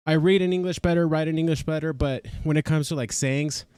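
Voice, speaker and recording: A man's voice: on the high side, steady tone, brisk, darkish tone, smooth, no room sound, clean studio sound. A man whose first language is Spanish, chatting in a casual, upbeat way as he hosts a reaction video while walking on a treadmill. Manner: chatty, upbeat, self-correcting. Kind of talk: casual reaction vlog chat.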